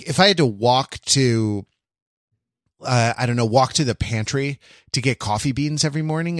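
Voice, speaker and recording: A man hosting a casual podcast conversation, talking in a halting, rambling way as he thinks aloud. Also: mid-range voice, fairly bright tone, no room sound, clean studio sound. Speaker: podcast host.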